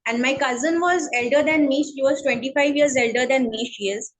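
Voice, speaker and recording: A woman with a Bengali accent speaking English as a guest in an interview, slow and hesitant with many fillers. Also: high-pitched. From India. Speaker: guest, counselling psychologist. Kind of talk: online interview, casual chat.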